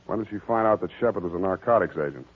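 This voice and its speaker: An actor playing a wry, hardboiled private detective with a sardonic, mock-formal manner in 1940s radio style. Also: male voice, low-pitched, racing. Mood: brisk, hard. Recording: vintage 1940s radio broadcast, narrow band.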